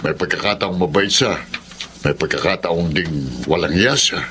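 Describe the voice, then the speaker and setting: A man's voice: deep voice, normal speed, pushed and bright. A man acting in a Filipino radio drama as an earnest, protective older father, speaking emphatically. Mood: grave, confiding. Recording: light background noise.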